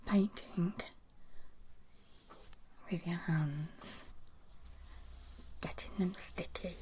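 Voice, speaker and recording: A woman whispering softly and gently as she hosts and explains in an ASMR style. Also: lowish voice, steady tone. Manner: soft, gentle, slow and deliberate whispering. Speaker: ASMR video creator and host.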